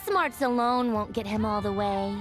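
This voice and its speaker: A woman's voice: medium pitch, normal speed, over music, natural voice. A woman playing a proud young female scientist villain in an anime game, haughty and theatrical. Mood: disdainful.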